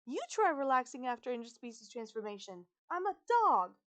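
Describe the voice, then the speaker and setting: Woman voicing an excitable, higher-pitched teenage girl-turned-terrier. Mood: distressed, indignant. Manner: rapid, excitable, dramatic complaining. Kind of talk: audiobook character voice.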